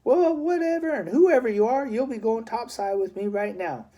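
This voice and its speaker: A man's voice: extremely high pitch, moderate pace, mellow, pitched above the performer's own voice. Man acting a brusque, stern old army sergeant. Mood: gruff, challenging. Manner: brusque, questioning, folksy grammar. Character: stern gray-bearded army sergeant, gruff but amused.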